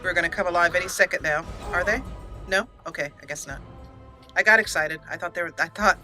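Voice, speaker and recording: A woman's voice: on the low side, quick pace, sharp tone. A woman hosting a let's play, chatting casually and self-mockingly as she plays, often giggling. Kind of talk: casual gameplay commentary. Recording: faint game music behind. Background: game music.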